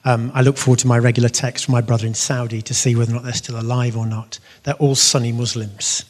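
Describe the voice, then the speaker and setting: A man giving an animated faith testimony to an audience, telling his story with bursts of loud emphasis. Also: medium pitch, fast. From half Syrian. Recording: long reverb of a hall.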